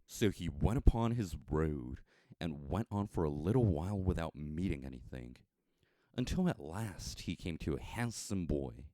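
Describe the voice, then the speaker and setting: An American man narrating a story from a script in a measured, expressive storytelling manner with dramatic emphasis. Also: very deep voice, no echo. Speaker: audiobook narrator.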